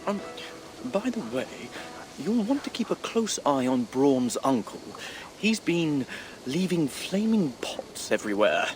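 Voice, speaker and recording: An actor playing a polite, gently gossiping medieval townsman in a video game, hesitant and insinuating. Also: fairly high pitch, very animated, measured pace, background noise. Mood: genial. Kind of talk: dramatic video game acting.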